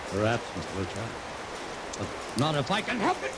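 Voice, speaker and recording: Desperate publicity man, quick and excitable, vintage radio drama, rain hiss behind. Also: mid-range voice. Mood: urgent. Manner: urgent and excitable. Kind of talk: 1950s radio drama acting. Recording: old radio transcription under loud rain noise.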